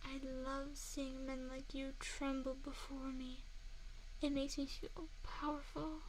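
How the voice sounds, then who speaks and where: A woman's voice: high voice, completely flat delivery, slow pace, rough recording. A woman voicing a seductive, menacing female vampire in an intimate ASMR roleplay, mocking and taunting a single listener up close. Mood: threatening, commanding. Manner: teasing, taunting, intimate address to one listener. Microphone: close binaural 3Dio microphone. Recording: faint background noise, close binaural mic.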